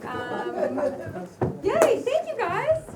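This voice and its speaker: An excited, giggly woman casually thanking and addressing a group as the event organizer, in an echoey, noisy crowded room. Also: very high voice, drawn-out words. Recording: echoey crowded room, distant mic, loud noise.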